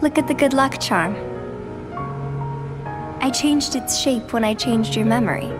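A gentle, wistful teenage girl in a video game, played by a woman. Her soft voice sits high and reassures tenderly, with music playing behind. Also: unhurried, close to an everyday voice. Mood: tender, reassuring. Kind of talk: video game cutscene acting.

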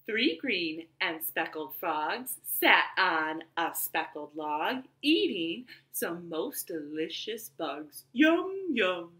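A bright-voiced woman presents storytime for small children. Her delivery is animated and sing-song, slightly high and swinging widely in pitch. It is recorded in a roomy living room on a webcam. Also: takes it slowly, few pauses. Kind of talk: children's storytime, rhymes and read-aloud story. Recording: roomy home webcam sound.

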